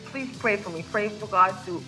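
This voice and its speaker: Earnest Spanish-speaking woman, bright tone. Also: medium pitch, moderate pace, soft background music, rough recording. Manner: earnest, many "like" fillers and restarts. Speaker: guest, life coach. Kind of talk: faith-based interview conversation. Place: home.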